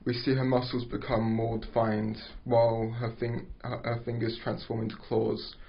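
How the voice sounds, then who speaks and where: Guy reading out script directions at a table read, monotone, trips over words, muffled lo-fi recording. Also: mid-range voice, gravelly. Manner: halting, stumbles over words. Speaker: narrator reading stage directions. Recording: dull lo-fi recording.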